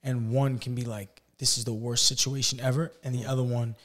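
A man hosting a podcast in casual, earnest conversation. His voice is slightly hoarse, and he speeds up when he tells a story. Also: mid-range voice, level tone, a little fast, fairly bright tone. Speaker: podcast host.

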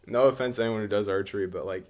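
A young American man from the Midwest co-hosting a podcast, chatting casually and comedically in a rambling, halting way with casual swearing. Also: on the low side, a little mellow. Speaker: podcast co-host.

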